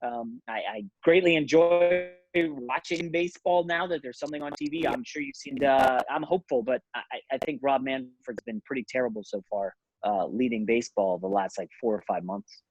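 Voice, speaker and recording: A man's voice: mid-range voice, moderate pace, few pauses, a little mellow, dry recording, poor audio. A man giving blunt, casual sports and betting analysis as an interview guest, speaking conversationally.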